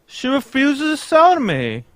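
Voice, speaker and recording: A man's voice: extremely high pitch, huge pitch swings, measured pace, dry recording, heavily acted. A man playing an anxious, pompous foreign king in a radio mystery, earnest, in stilted English that drops its articles. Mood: grave, desperate. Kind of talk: old-time radio mystery acting, read live.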